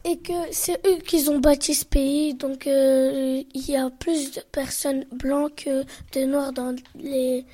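A woman's voice: high voice, level tone, slow, few pauses, warm tone. A young girl debating casually as a participant in a youth radio workshop.

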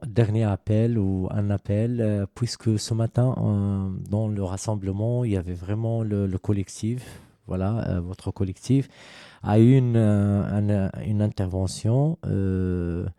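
A man's voice: fairly low pitch, dark tone, dry recording, clean studio sound. A man hosting a radio talk show, questioning a guest with loose phrasing and frequent fillers. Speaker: radio host. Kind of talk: radio interview.

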